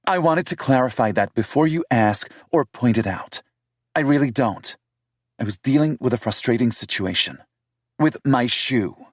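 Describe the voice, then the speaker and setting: A man's voice: mid-range voice, lively intonation, normal speed, dry recording, clean studio sound, voice pushed up, close to an everyday voice. A man voicing a prickly, defensive young woman in an audiobook, speaking in a raised, emphatic way. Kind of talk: audiobook character voice.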